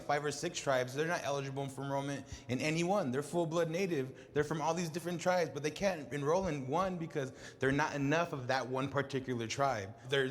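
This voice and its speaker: A man in a panel discussion, explaining his point with repetitive phrasing. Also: mid-range voice. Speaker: panel participant.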